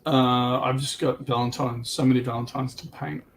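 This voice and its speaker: A man's voice: medium pitch, flat delivery, moderate pace, slight background noise. A man chatting casually as co-host of a livestream. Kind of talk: casual chat.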